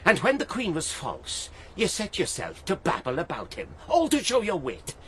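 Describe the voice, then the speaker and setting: High, swooping, mocking court fool. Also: male voice, wildly swooping pitch, moderate pace, breathy edge, full character voice. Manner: swooping between low musing and shrill cries. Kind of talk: theatrical audio drama acting of archaic verse.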